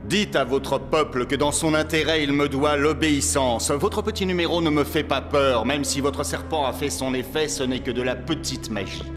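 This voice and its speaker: A man playing a haughty, scornful, stubborn Pharaoh of Egypt with imperious delivery in an animated Bible story. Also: mid-range voice, moderate pace, few pauses, close to an everyday voice. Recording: reverberant hall, music behind.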